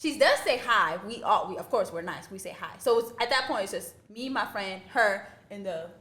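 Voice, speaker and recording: A woman's voice: medium pitch, lively intonation, normal speed, sharp tone. A young woman from Oregon co-hosting a podcast, telling a story in a laid-back, casual way and swearing freely. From Oregon, USA. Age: young adult. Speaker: podcast co-host.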